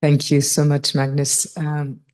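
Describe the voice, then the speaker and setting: Low-voiced Portuguese woman introducing a speaker. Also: completely flat delivery, a little slow, a little mellow. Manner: apologetic, reading a bio. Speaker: session chair introducing speaker.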